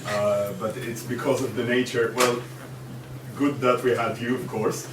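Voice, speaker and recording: A man from Syria chairing a conference panel, speaking haltingly with many fillers and restarts. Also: mid-range voice, level tone, music in the background. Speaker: panel moderator. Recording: echoey room from far off, background noise.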